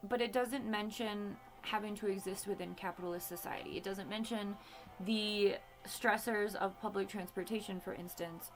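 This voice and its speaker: A woman in her late thirties talks in a loose, unscripted monologue, pausing to search for words and tossing in side remarks. At times she leans away from the mic and her voice drops to a low aside, while a faint bed of music sits behind her. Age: 30s. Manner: candid and conversational, many fillers and asides. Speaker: podcast host. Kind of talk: casual monologue.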